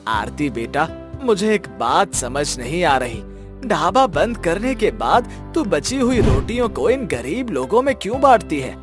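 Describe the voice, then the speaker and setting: A man's voice: on the high side, sing-song, a little slow, music in the background. A man playing a loving, proud middle-aged village father in a children's moral story. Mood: puzzled.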